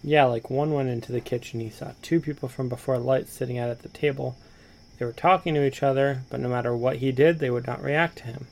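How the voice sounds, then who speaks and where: Male friend on the line, played by an actor: medium pitch, gravelly fry, easygoing casual audio-drama delivery. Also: fairly even intonation, brisk, lightly acted. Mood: storytelling. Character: easygoing male friend calling a radio station.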